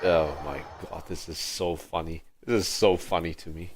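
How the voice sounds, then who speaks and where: A man's voice: deep voice, fairly even intonation, moderate pace. A man giving casual, amused, exclamatory commentary as he streams a video game. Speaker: live streamer. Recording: game music behind the voice.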